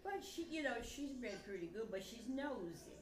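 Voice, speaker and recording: A woman's voice: on the high side, sing-song, normal speed, crowd noise. An older woman, a grandmother, casually recounting family stories in a rambling, blunt way, acting out conversations as dialogue. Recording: echoey room, background noise.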